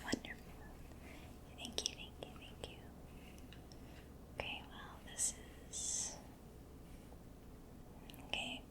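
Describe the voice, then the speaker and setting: A woman doing soft-spoken ASMR roleplay in a breathy whisper, gentle, attentive and reassuring, close to the microphone. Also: slow pace, pauses often. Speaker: ASMR performer. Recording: faint background noise.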